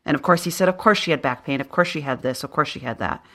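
A podcast host with a low voice, talking earnestly and personally while interviewing a guest. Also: quick pace, sharp tone. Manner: earnest, personal, shares own story. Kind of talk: interview conversation.

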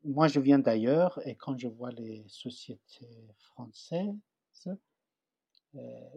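Slow, husky man with a Persian accent. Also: medium pitch, a little mellow, no room sound, dull audio. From Iran. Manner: halting, searching for French words. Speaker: interviewee. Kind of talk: personal testimony.

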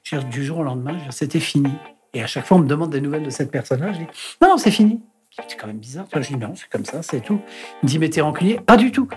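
A man in his sixties giving a candid interview as an artist, speaking frankly and reflectively and often trailing off into pauses. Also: on the high side, expressive, soft background music. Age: 60s.

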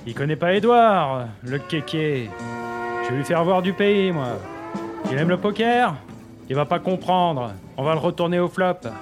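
A man's voice: a little high, lively intonation, a little slow, music in the background. A man playing a cocky, scheming prime minister who talks like a slangy film gangster in a satirical audio drama. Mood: menacing swagger. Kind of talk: satirical audio fiction acting.

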